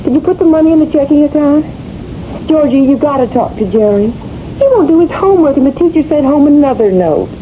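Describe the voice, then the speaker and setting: High-voiced, fretting lonely housewife. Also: female voice, expressive, fairly quick. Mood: nagging. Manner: fussing, plaintive. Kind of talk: 1970s radio drama acting. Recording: dull, noisy old radio recording.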